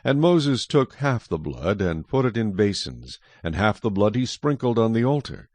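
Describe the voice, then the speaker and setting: A man narrating scripture in a measured, solemn, scripted style for a dramatized audio Bible. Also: lowish voice, very animated, a little mellow, no echo.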